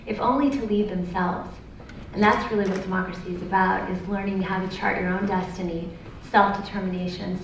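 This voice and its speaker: A woman's voice: medium pitch, a little hiss or hum. A woman who runs a company, answering on a panel in a confident, assertive way. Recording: echoey hall, distant mic.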